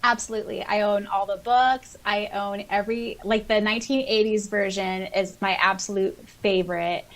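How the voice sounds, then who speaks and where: A woman's voice: mid-range voice, steady tone, normal speed, hardly any pauses, low-quality recording. A woman co-hosting a podcast, chatting casually and gushing with enthusiasm over listener comments and favorite stories. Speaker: podcast co-host. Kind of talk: casual chat, reading and reacting to listener comments.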